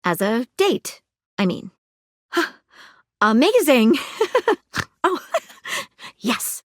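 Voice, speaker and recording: Maggie, an eager detective magnifying glass. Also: female voice, on the high side, sing-song, very slow, a bit bright, dry recording, clean studio sound, exaggerated voice. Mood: curious. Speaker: detective character. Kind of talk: video game character dialogue.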